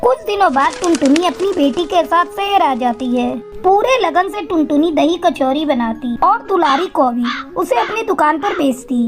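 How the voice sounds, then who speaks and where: A woman's voice: very high-pitched, expressive, normal speed, few pauses. A woman reading scripted narration for a children's cartoon moral story. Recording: music behind the voice.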